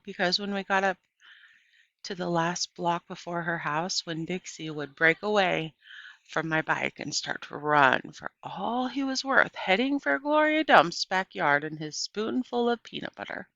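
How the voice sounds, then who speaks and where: A woman narrates a story aloud in a low, bright, forward voice. She reads in an animated storytelling manner, as for an audiobook. The recording is dry and a little dull. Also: normal speed, vocal fry, no echo. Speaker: audiobook reader.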